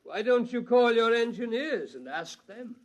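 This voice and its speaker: Measured, idealistic radio-drama inventor. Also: male voice, very high voice, animated, dry recording. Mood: coolly assured. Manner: measured, courteous, quietly challenging. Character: dreamy, self-possessed male inventor, idealistic and proud. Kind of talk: 1950s radio drama acting.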